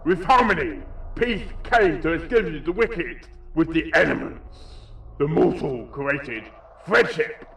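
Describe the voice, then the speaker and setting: A resolute exorcist, acted by a male reader, intones solemnly in a horror tale. His delivery is very slow and forceful, with deliberate pauses, ringing with echo from a little distance. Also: on the high side, animated, creaky, slight background noise, voice pushed up, heavily acted. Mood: solemn chanting. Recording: roomy echo, distant from the mic.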